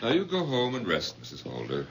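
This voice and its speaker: An actor playing a bitter country doctor in a 1970s radio drama, by turns exasperated and elated. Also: male voice, medium pitch, a little fast, a little hiss or hum. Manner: exasperated, then overjoyed and excitable. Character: bitter middle-aged male country doctor.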